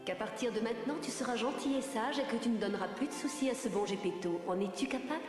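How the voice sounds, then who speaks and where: A magical fairy lady from a cartoon, acted by a woman, gently admonishing a child. Her words come quickly through heavy echo. Loud music plays behind her. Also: fairly high pitch, lightly acted. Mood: gently stern. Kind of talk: children's animated film dialogue.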